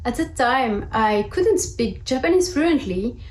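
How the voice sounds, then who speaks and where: Woman, thirties, French accent, fairly high voice, prepared online talk, guest speaker, video call. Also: animated, unhurried, roomy sound. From south of France. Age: 30s. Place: indoor room at home, on a video call. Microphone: video call microphone.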